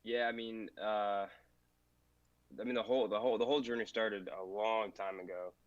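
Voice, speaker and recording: A man guest on a podcast who sounds nasal from allergies, telling his story haltingly with fillers. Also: lowish voice, fairly even intonation, no echo, lo-fi sound. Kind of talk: personal story in an interview.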